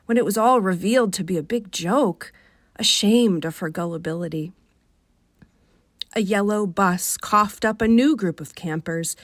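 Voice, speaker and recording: A woman with a Rochester, New York accent reading a short story for radio in an expressive, wry manner. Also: mid-range voice, dry recording, clean studio sound. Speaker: author reading aloud.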